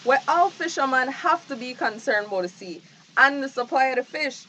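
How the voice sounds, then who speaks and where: Actress as earnest high-voiced woman. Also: lively intonation, moderate pace, pushed and bright, dull audio, a little hiss or hum. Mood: earnest, polite. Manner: polite, explanatory. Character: earnest, respectful female fisheries programme worker. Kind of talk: naturalistic radio drama acting.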